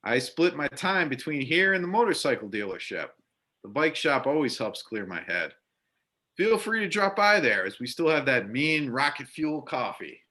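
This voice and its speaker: Man playing a bright-voiced, blunt biker bartender. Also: medium pitch, big pitch swings, normal speed. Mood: easygoing. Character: burly bearded affable biker bartender, Vietnam veteran. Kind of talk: amateur readers theater acting.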